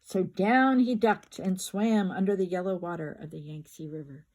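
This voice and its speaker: An older woman reading a children's picture book aloud like a grandmother, with expressive, drawn-out words and emphatic repetition. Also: fairly low pitch.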